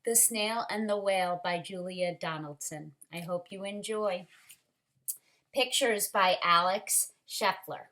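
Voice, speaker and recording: A woman with a bright, forward voice reads a children's story very slowly. She stretches her words expressively and speaks to the children directly, as a storytime teacher would. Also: mid-range voice. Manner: expressive, deliberate, drawn-out words with asides to children. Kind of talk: read-aloud storytelling for young children.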